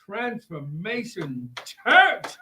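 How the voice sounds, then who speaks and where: A man co-hosting a casual live talk show, excitable and often repeating his phrases as he goes. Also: high voice, extremely slow, a little hiss or hum.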